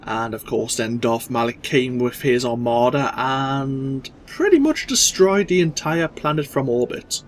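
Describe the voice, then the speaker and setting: Host, male, a bit clogged with cold; mid pitch, relaxed talk over faint game noise. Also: a little slow, few pauses. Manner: relaxed, explanatory. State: stuffy with a cold. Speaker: let's play host. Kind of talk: casual gameplay commentary.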